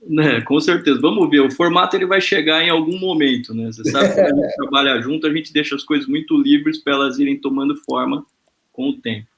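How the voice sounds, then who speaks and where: A man hosts an informal panel over a video call with a bright, forceful tone and a slightly high voice. He talks in a relaxed, lightly joking way and fills his pauses with hesitant sounds. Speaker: host.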